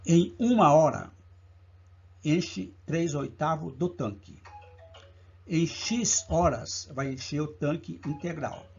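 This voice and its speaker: A man's voice: on the high side, vocal fry, a little mellow, husky, lo-fi sound. A man with a Brazilian Portuguese accent teaching math for a public exam, working through problems step by step and counting out each part aloud. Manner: slow, deliberate, counts steps aloud. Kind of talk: exam-prep lesson walkthrough.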